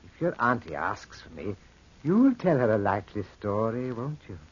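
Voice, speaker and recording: Obsequious manservant, deep-ish husky voice, coaxing, deferential, old radio play. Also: male voice, big pitch swings, normal speed. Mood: deferential, wheedling. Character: obsequious, wheedling male household servant. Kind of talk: old-time radio drama acting. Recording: muffled vintage radio recording.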